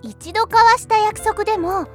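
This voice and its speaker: A woman's voice: very high-pitched, normal speed, soft background music. A woman playing a cheeky, lazy teenage schoolgirl with a casual, brash manner in an anime audio drama. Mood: curious.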